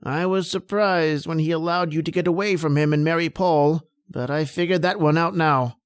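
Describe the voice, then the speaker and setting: A man playing a grave doctor in an old-time radio horror drama, speaking with deliberate, dramatic delivery. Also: on the high side, fairly even intonation, clear, resonant tone, dry recording, lightly acted. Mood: grave, revealing. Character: grave male doctor solving a family tragedy.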